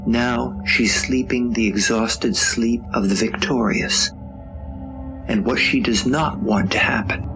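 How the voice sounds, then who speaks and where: A man's voice: medium pitch, vocal fry, rough recording. A man delivering scripted dramatic narration for an audio drama in a wry, suspenseful manner. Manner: wry, suspenseful, drawn-out phrasing. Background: music.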